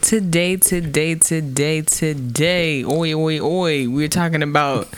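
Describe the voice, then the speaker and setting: A podcast co-host in casual chat who jokes around and steers the talk to the next topic. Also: slow pace. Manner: playful, laughs high and loud.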